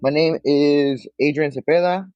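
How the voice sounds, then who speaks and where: A young man, chatting casually as an interview guest, relaxed and full of "like". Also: a little high, measured pace, clean tone, soft background music. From Queens, New York. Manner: relaxed, peppered with "like", acts out quotes. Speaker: bookshop owner, guest. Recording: dull lo-fi dry sound.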